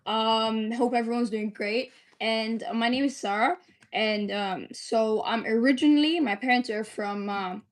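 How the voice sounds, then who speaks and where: A woman's voice: fairly high pitch, measured pace. A young woman in her twenties from the UAE, a medical student telling her founder story as a podcast guest, earnest and chatty with many fillers.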